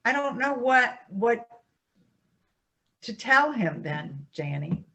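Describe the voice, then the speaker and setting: A woman's voice: fairly high pitch, sing-song, slow, gravelly, bright, forceful tone, exaggerated voice, poor audio. A woman acting in a staged play reading as a defensive, embittered divorcee with an evasive, formal way of talking.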